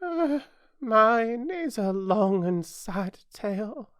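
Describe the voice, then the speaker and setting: A tiny, huffy mouse from a storybook. The voice sits far up high and moves slowly and indignantly, with mournful self-importance. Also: female voice, animated, creaky, no echo, studio quality, heavily acted. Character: severe, easily offended, mournful little mouse.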